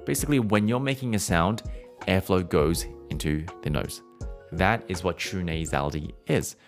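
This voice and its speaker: A male voice teacher with a very low speaking voice talks through a singing lesson in a loose, conversational way. He sometimes breaks off to hum or hold out vowels as demonstrations. Soft music plays faintly underneath. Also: normal speed. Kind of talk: explanatory singing lesson, podcast.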